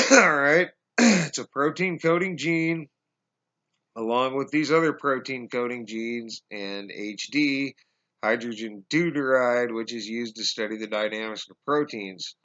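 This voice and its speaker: A man in his forties talking to viewers from home on a webcam, halting with fillers, his voice going hoarse. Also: medium pitch, fairly bright tone. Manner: halting, many fillers and restarts, addresses viewers as guys. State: losing his voice, coughing. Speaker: conspiracy vlogger. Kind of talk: casual explanatory monologue. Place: small indoor room at home. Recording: dull lo-fi webcam audio.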